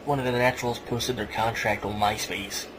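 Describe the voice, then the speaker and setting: A man hosting casually, reading news and explaining it in a halting way. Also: medium pitch, steady tone, crowd noise. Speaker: podcast host. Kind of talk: casual wrestling news commentary. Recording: noisy home podcast recording.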